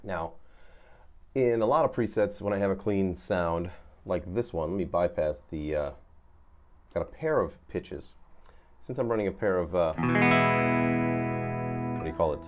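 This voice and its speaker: A man's voice: sits low, measured pace, long pauses, darkish tone. A man giving an off-the-cuff, casual guitar effects tutorial, laid-back and rambling as he searches for words. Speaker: guitar effects demonstrator, host.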